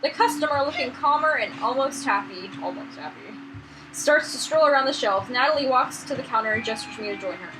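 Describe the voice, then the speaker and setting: A woman's voice: sits high, expressive, fairly quick. A woman hosting a let's play, reading visual novel narration aloud. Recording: noisy room recording, no proper mic.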